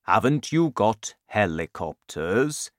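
A man voicing a big, gentle, friendly giant in a storybook reading, speaking slowly and humbly. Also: lowish voice, very animated, no room sound, clean studio sound.

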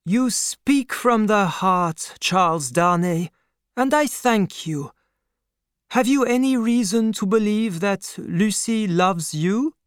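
A man voicing an aging, gentle doctor who speaks in an anxious, fatherly, pleading way. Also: sits high, takes it slowly, smooth, no echo, studio quality, pitched above the performer's own voice. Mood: sad, tender. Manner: pleading, emotional.

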